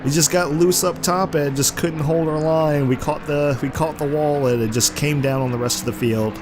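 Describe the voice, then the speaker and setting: Hoarse male gaming commentator with a congested voice, casual play-by-play, loud game music and engines behind him. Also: a little high, moderate pace. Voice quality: rough, congested voice. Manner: excited play-by-play, rising sharply at crashes. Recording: game music and car noise underneath. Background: racing game engine noise and music.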